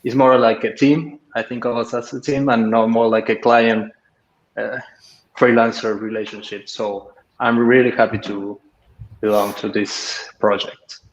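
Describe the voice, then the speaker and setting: A man's voice: mid-range voice, level tone, a little slow. Man, argentine accent, native spanish speaker, echoey room, muffled lo-fi sound. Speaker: guest, illustrator. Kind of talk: casual panel chat. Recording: roomy, dull lo-fi sound.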